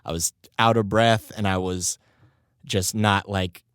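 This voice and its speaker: A man's voice: on the low side, fairly even intonation, unhurried, a bit bright, smooth, no echo, studio quality. A young man hosting his own podcast in a rambling, casual way, swearing and laughing at himself, his voice still a little strained. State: voice recovering, slightly strained. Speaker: podcast host and rapper. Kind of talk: casual solo podcast chat.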